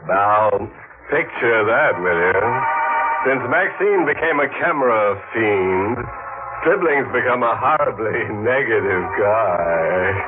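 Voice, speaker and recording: A man's voice: on the low side, animated, slow pace. A man playing a macabre radio mystery host, theatrical and mocking, with gallows humor. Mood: gleeful, mocking. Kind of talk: 1950s radio acting, macabre hosting. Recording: muffled old radio broadcast, music.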